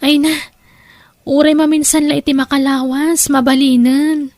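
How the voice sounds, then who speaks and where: A woman's voice: sits high, flat delivery, normal speed, a little mellow, dry recording, clean studio sound, close to an everyday voice. A woman playing a long-suffering young wife, affectionate but protesting, in an Ilocano audio drama with a Filipino Ilocano accent. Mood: gentle, protesting.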